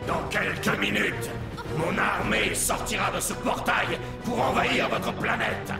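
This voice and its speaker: Cartoon alien warlord, gravelly, wide swoops, heard echoey and from afar. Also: male voice, sing-song, unhurried, loud music behind the voice, exaggerated voice. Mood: menacing. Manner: booming, threatening proclamations. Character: menacing alien invader commander. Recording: echoey, distant announcement.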